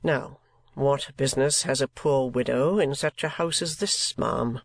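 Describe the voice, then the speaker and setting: A stern, blunt gentleman, as a woman reader plays him, setting down each word slowly and flatly. His husky low voice sits close to the mic in a dry storybook recording. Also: dry recording, heavily acted. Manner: blunt, clipped and deliberate.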